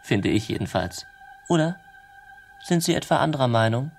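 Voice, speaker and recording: An actor playing Lucifer, a sly, grudgingly admiring devil with a gravelly, drawling voice in a radio comedy. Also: male voice, mid-range voice, sing-song, moderate pace, soft background music. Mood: sly, musing.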